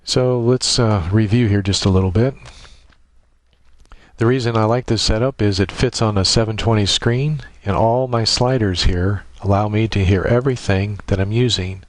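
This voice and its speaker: A man with a low-pitched voice talks through a software setup step by step. His delivery is informal and somewhat halting, like a casual tutorial. The sound is dry, with the microphone close and very little room in it. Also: steady tone, no echo. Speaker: tutorial presenter.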